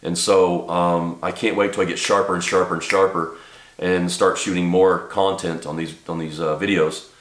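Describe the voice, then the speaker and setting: A man with a very low voice talks to camera, a little stuffed up from a cold. He rambles earnestly, with lots of ums and restarts. The room around him is echoey. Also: flat delivery, moderate pace, a bit bright, slight background noise. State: congested from a cold. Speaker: channel host and small business owner. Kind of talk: casual talk to camera. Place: home office.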